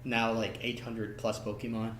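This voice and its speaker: A man chatting casually on a podcast about cartoons and games, halting and peppering his talk with "like". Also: fairly low pitch, flat delivery. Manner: halting, many "like" fillers. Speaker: podcast guest or co-host. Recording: roomy echo, noisy background.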